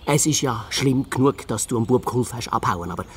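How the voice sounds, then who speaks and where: An actor voicing a bossy, cowardly fox detective in a children's radio play, blustering and stammering with a Swiss German accent. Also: male voice, mid-range voice, animated, brisk, slight background noise, natural voice. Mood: indignant.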